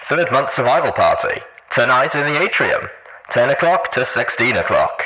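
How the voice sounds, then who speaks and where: A man acts out a refined female pony for a fantasy reading. The voice is lifted above a deep natural pitch, with gentle, careful, measured delivery. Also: very animated, rough recording.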